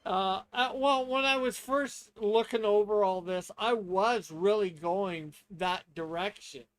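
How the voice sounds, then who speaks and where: Man, high-pitched voice, slow and hesitant, casual streamer going through game rules. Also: dry recording, low-quality recording. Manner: hesitant, halting, thinking aloud. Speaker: streamer and tabletop player. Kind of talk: casual stream talk, reading rules aloud.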